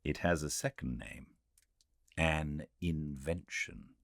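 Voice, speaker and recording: A man with a British accent giving a measured, expressive, scripted literary narration as an audiobook reader. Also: a bass voice, no room sound. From Britain.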